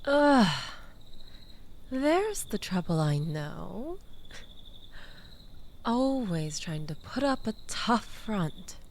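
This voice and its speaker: A woman's voice: mid-range voice, very animated, very slow, long pauses, a little mellow, slight background noise, exaggerated voice. A woman playing a manipulative, flirtatious ex-lover in an intimate audio roleplay, languid and teasing, coaxing the listener with theatrical pleading. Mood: mock pleading.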